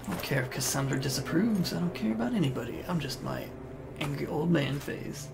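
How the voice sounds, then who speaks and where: A man's voice: on the high side, lively intonation, fairly quick, vocal fry, music in the background. A man hosting a gaming video with casual, informal and slightly rambling gameplay commentary. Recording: loud game sound behind voice-over. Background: game audio.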